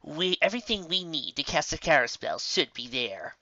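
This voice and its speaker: A man's voice: a little high, big pitch swings, normal speed, bright, forceful tone, dry recording, lo-fi sound, pitched above the performer's own voice. A man voicing a bossy, exasperated young female unicorn magician with a sarcastic, impatient manner in a story.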